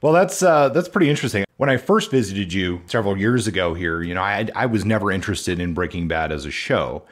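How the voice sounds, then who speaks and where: A man hosting a podcast, chatting in a relaxed, hesitant way with plenty of fillers. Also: deep voice, a little fast, hardly any pauses. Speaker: podcast host. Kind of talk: casual podcast conversation.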